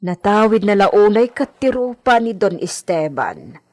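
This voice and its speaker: A woman's voice: medium pitch, very animated, a little slow, a little mellow. A woman with an Ilocano accent playing a deferential, chatty household maid in a radio drama.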